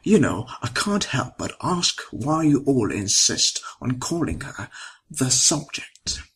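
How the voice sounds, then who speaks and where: A man playing a polite, probing visitor in a horror audio drama, deliberate and formal with a husky voice. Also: medium pitch, very animated, fairly bright tone, heavily acted. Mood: curious, probing.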